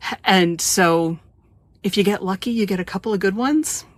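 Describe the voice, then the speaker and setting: A woman's voice: medium pitch, moderate pace, dry recording, studio quality. An American woman talking conversationally as an interview host. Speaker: podcast host interviewing guests.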